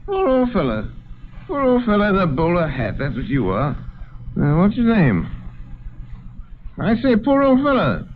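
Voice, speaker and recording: An actor playing a drunken, rambling in an old radio drama, with a slurred, nosy manner. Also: male voice, sits high, wildly swooping pitch, unhurried, long pauses, a little hiss or hum, full character voice. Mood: tipsy. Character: drunken upper-class Englishman, rambling and nosy. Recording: tinny lo-fi old radio recording.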